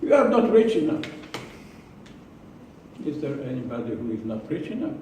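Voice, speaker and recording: A man with an Israeli accent giving a prepared speech to a club, slow and deliberate, with pauses and repeated words. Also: medium pitch, sing-song, warm tone, a little hiss or hum. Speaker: club speaker. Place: meeting room. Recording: echoey room from far off.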